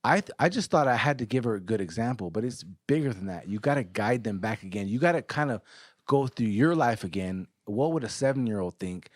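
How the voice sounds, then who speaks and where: A man's voice: fairly low pitch, animated, fairly quick, dry recording, studio quality. A man in his thirties, co-hosting a podcast, talking bluntly and earnestly with frequent swearing. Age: 30s. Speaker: podcast co-host. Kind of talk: casual podcast chat.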